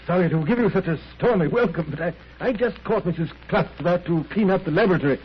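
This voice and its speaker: High-voiced, flustered gentleman scientist. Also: expressive, normal speed. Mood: flustered, apologetic. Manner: hesitant, apologetic, eager to please. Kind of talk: 1930s radio drama acting. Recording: muffled, noisy 1930s radio recording.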